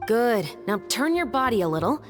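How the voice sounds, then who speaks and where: A woman voicing a disciplined, serious officer and weapons expert in an anime-style video game, firm, measured and instructive. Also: fairly high pitch, big pitch swings, faint music behind. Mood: instructing.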